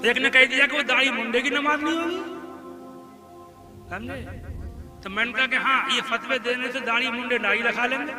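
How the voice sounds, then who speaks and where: Maulana guy preaching in plain chatty talk, pretty high voice, some music behind him. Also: normal speed, pauses often. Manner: conversational, checks listeners understand, mimics others' scolding. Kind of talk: colloquial Islamic sermon.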